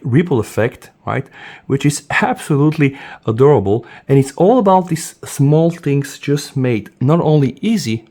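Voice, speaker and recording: Animated man. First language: Bulgarian. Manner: enthusiastic, addresses viewers as "guys", says "boom" often. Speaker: tech reviewer. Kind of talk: casual product demo.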